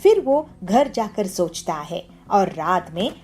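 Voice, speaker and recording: A woman's voice: mid-range voice, big pitch swings, takes it slowly, a little mellow, a little hiss or hum. A woman reading scripted children's story narration.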